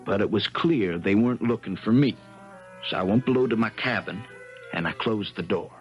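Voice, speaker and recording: A man's voice: fairly low pitch, moderate pace, faint music behind, close to an everyday voice. A man playing a shrewd, folksy young lawyer, telling and acting his story in a 1970s radio drama. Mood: suspenseful storytelling. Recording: dull 1970s radio broadcast recording.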